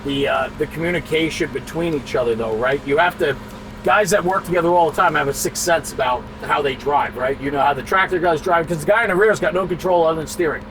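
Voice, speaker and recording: A man's voice: on the high side, quick pace. A man hosting a casual on-location interview, conversational and often seeking agreement as he explains. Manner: conversational, often checking with "right?". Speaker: interviewer and host. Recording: clear vehicle and street noise.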